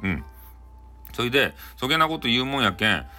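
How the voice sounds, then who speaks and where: Fast, animated Hakata-accented male storyteller. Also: mid-range voice, soft background music. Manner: chatty, playful. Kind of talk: casual improvised comic retelling of a bedtime folktale.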